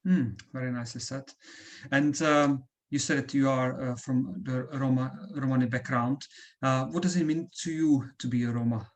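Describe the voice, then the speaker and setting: A man's voice: mid-range voice, steady tone, normal speed. Polite hesitant male interviewer. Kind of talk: video call interview. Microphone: video call.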